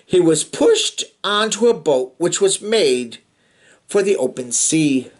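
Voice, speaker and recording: Kids book reader, really animated pitch, slow, playful and chatty w the story. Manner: theatrical, playful, reacts to the story. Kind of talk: expressive read-aloud with chatty asides.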